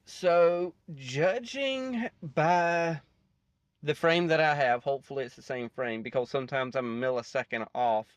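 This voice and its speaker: A man hosting a reaction video with casual, rambling commentary, haltingly thinking aloud. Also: mid-range voice, dry recording.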